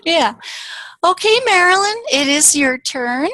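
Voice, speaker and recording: A woman from northern Wisconsin hosting an online author panel, talking in a halting way with many fillers. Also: extremely high pitch, lively intonation, pushed and bright, no echo, poor audio. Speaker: panel host. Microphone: video call.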